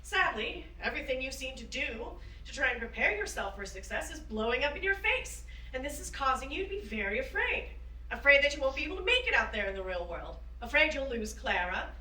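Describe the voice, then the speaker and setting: A woman's voice: sits high, sing-song, fairly quick, bright, forceful tone, full character voice. A woman playing a spirited, encouraging woman aviator in a staged play reading, with an earnest, emphatic manner. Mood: firm, knowing. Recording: roomy, distant microphone.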